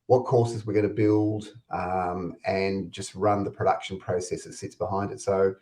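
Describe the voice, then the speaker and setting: An Australian man with a fairly low voice talks easily over a video call. His phrasing is loose and casual, with the occasional filler as he presents. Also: level tone, measured pace, dark tone. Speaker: head of content team. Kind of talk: webinar presentation.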